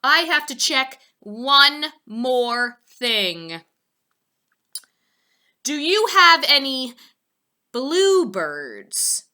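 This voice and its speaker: A woman voicing a fussy, easily rattled male animal from a storybook, with emphatic, drawn-out declarations. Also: high-pitched, big pitch swings, pushed and bright, voice pushed up, full character voice. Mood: fussy, particular.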